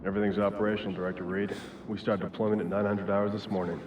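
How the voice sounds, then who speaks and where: An actor playing a composed, authoritative mercenary colonel in a game cutscene, calm and dismissive. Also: male voice, lowish voice, fast talker, dull audio, close to an everyday voice. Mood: businesslike. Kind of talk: dramatic game cutscene acting. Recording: echoey voice under music and vehicle noise.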